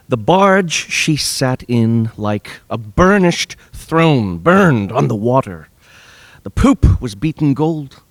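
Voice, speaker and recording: A worldly Roman soldier telling an awestruck tale. He takes his time over each lyrical phrase of classical verse, in a middle-pitched voice with a dry, close sound. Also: dry recording. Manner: measured, lyrical storytelling. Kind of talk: classical Shakespearean monologue.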